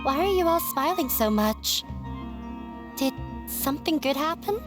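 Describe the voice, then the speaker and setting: A polite young woman, speaking high and slowly in an unhurried, courteous way. Game music plays clearly behind her. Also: expressive. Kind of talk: video game voice acting.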